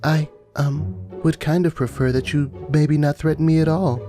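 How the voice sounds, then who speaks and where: A man voicing a shy, meek, soft-hearted teenage girl, hesitant and polite. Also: a little high, fairly even intonation, normal speed, music in the background, voice pushed up, close to an everyday voice. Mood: timid.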